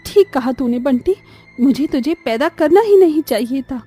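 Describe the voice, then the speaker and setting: A woman voicing an earnest, pleading poor young mother in an animated story. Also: high-pitched, moderate pace, soft background music. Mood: upset.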